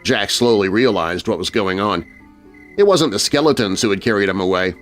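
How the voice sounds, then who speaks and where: Animated male narrator of spooky children's stories. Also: lowish voice, normal speed. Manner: suspenseful, theatrical. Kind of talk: dramatic scripted spooky storytelling for children. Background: music bed.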